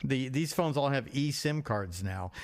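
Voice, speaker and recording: Middle-aged man with a medium-pitched voice. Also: lively intonation, unhurried. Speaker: podcast co-host. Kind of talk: casual conversational chat.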